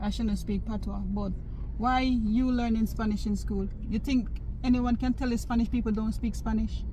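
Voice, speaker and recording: A woman's voice: fairly high pitch, normal speed. Jamaican woman chatting in a car. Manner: animated, gesturing while talking. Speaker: vlogger. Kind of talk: casual chat. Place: inside a moving car. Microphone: phone camera on the dashboard. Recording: car road noise behind.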